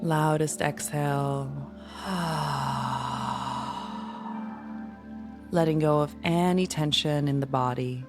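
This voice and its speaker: A woman leading a guided meditation in a soothing, gentle, unhurried manner. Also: sits low, fairly even intonation. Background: music.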